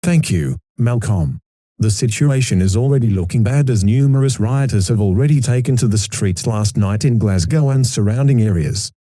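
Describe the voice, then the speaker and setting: A man's voice: on the low side, animated, normal speed, warm tone, no room sound. A man playing a serious political analyst, giving a scripted live news report in a formal, matter-of-fact way.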